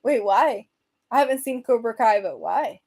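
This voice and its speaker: A woman's voice: on the high side, expressive, normal speed, darkish tone, slightly rough. A young woman from Venezuela with a Venezuelan accent, casually chatting on a livestream and reading viewer comments aloud with lots of fillers. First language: Spanish. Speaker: host.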